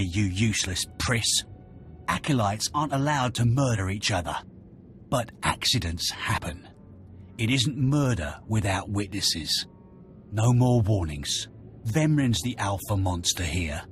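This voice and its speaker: A man's voice: on the low side, lively intonation, a little slow, soft background music. An actor playing a brutish, threatening henchman in a video game, scornful and bullying.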